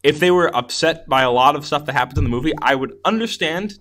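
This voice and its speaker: A man's voice: a little high, lively intonation, fast talker, a bit bright. A man co-hosting a podcast, chatting casually and enthusiastically about movies, often restarting his sentences as he rambles. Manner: enthusiastic, rambling with repeated false starts. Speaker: podcast co-host. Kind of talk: casual movie review chat.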